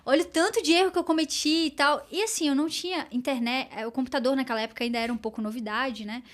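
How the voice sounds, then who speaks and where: A woman hosting a casual podcast, talking quickly and expressively in a slangy way. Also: high voice. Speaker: podcast host.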